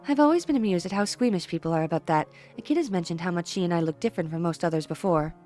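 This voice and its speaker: A woman voicing a gentle, polite, composed teenage schoolgirl in a visual novel, speaking in a soft, measured, courteous way. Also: fairly low pitch, faint music behind, lightly acted.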